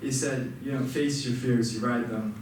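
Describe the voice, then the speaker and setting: A man's voice: medium pitch, steady tone, moderate pace, a little mellow, a little hiss or hum. A young man who stutters, giving an earnest personal speech to an audience, with repeated sounds and restarts. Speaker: student speaker. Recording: echoey hall, mic far off.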